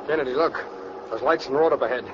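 Male actor as escaped convict ringleader, quick tough delivery, barked orders, vicious and cocky, noisy vintage radio drama sound. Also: medium pitch, lightly acted. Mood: desperate, defiant. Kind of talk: 1940s radio crime drama acting. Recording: muffled, noisy old radio recording.

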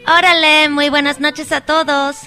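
A bubbly young Mexican woman, put on by a radio comedian, high and bright, chirping with exaggerated slang. Music plays faintly behind. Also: level tone, measured pace, heavily acted. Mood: perky.

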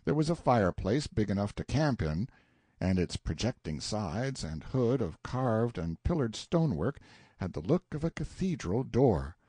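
A man narrating a literary novel as an audiobook, with a measured, expressive storytelling delivery and a slightly husky voice. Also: on the low side, no echo.

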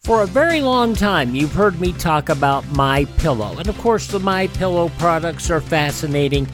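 A man hosting a radio show, announcing and reading ads in a folksy selling manner with emphatic pauses. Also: on the high side, lively intonation, soft background music. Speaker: radio host. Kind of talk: scripted radio announcing and host-read ads.

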